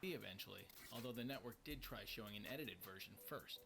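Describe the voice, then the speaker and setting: A man's voice: on the low side, fast talker, creaky, pushed and bright, slight background noise. A man reading scripted countdown narration as the narrator of a list video. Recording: music bed under the voice. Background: background music.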